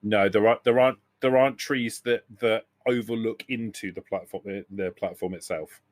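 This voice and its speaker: Male game master, lowish voice, dry sound, feels his way through scene descriptions with frequent self-corrections. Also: steady tone, moderate pace, no room sound. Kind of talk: improvised tabletop game narration.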